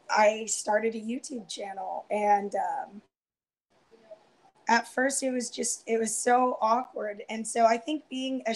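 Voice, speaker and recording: A young woman in her twenties talks from home over an earphone headset on a video call. She speaks softly and haltingly, full of ums and restarts. Her voice is wearing thin and turning hoarse. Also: fairly high pitch, low-quality recording. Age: 20s. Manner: soft-spoken, hesitant, many ums and restarts. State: losing her voice. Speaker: guest on a live round-table stream. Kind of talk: casual personal sharing. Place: indoors, home room.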